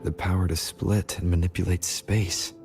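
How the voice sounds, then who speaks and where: A man playing a calm, steady, earnest young hero in a video game, level-headed and serious. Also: deep voice, moderate pace, creaky, over music. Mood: thoughtful.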